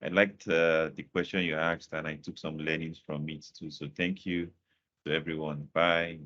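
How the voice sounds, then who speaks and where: A man's voice: very deep voice, little pitch movement, moderate pace, a bit bright, highs cut off. A man hosting a webinar and wrapping up with repeated thanks to guests and viewers. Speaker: webinar host. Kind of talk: closing remarks on a webinar.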